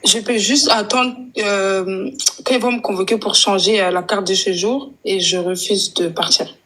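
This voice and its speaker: A woman from France calling in, speaking in a halting, resigned way with short confirmations. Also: mid-range voice, steady tone, fairly bright tone, low-quality recording. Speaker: caller.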